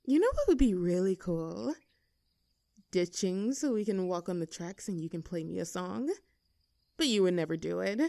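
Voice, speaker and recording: A woman's voice: lowish voice, animated, normal speed, creaky, dark tone, no echo, clean studio sound. A woman playing a young, teasing mean-girl student in a scripted audio roleplay, with a mocking, sarcastic, haughty manner. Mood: daring, goading.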